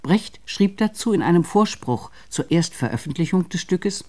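A man reading a scripted radio documentary in a measured, formal manner. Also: high voice, expressive, warm tone. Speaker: narrator of a radio feature.

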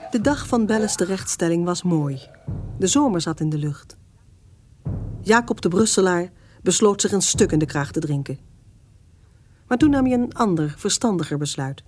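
A woman's voice: on the low side, expressive, moderate pace, long pauses, a little mellow, slight background noise. A woman narrator reading a scripted story for a radio serial. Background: music.

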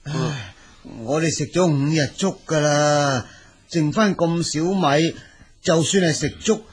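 A man acting as a discontented monk with a husky, slightly high voice and Cantonese accent. He grumbles, sighs and coaxes in audio drama style. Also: takes it slowly. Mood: grumbling. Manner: sighing, complaining, persuasive. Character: grumbling, worried monk who doubts and schemes.

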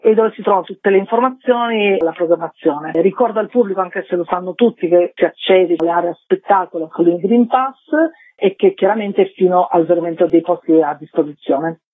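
A woman's voice: on the low side, brisk, breathy edge, dry recording. A woman, a festival director explaining a programme as a radio interview guest. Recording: narrow-band radio phone line.

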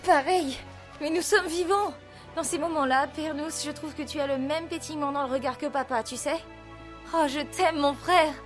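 A woman's voice: high voice, normal speed, soft background music, natural voice. A woman playing a brave, affectionate young heroine and sister in an audio drama. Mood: tender, relieved. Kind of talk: audio drama acting and narration.

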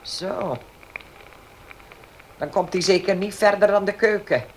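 An actress playing a sardonic, domineering old mistress of a country estate in a Dutch radio play, with pauses and dry chuckles. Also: female voice, mid-range voice, a little hiss or hum, natural voice, low-quality recording. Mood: mocking.

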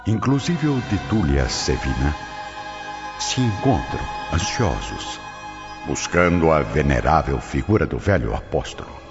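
A male radio drama narrator with a Brazilian accent and an extremely deep voice. His delivery is dramatic and swings widely in pitch. The recording is a little dull, with music clearly behind him. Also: very animated, a little slow. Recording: dull lo-fi audio with prominent music.

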